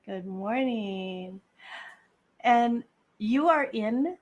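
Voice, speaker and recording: Host, woman from Hawaii, slow and deliberate interview delivery. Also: on the high side, lively intonation, darkish tone, lo-fi sound. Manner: measured, deliberate delivery with a scripted-sounding welcome. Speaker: podcast host. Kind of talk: live podcast interview hosting.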